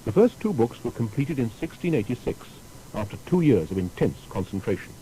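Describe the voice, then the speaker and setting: A man's voice: mid-range voice, very animated, brisk, mellow, slight background noise, low-quality recording. A man reading a scripted biographical narration in a formal, storytelling manner. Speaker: documentary narrator.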